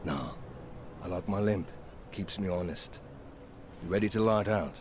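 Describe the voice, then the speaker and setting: A man's voice: low voice, a little slow, background noise. An actor playing a wisecracking, crude, easygoing pilot who ribs his passenger in a video game cutscene. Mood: joking. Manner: joking, crude, relaxed.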